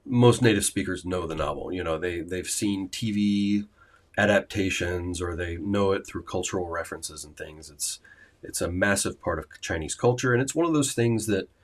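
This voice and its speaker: A man's voice: low voice, normal speed. A man hosting a language-learning livestream, chatting casually with many fillers and restarts.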